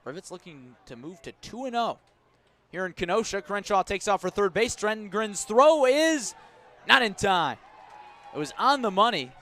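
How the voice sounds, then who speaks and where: A man with a slightly high voice works as a baseball radio announcer. He calls the game live in a measured way, filling the gaps with description and lifting his voice when the action comes. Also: sharp tone. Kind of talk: live sports commentary with descriptive asides. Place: outdoor ballpark broadcast position above the stands.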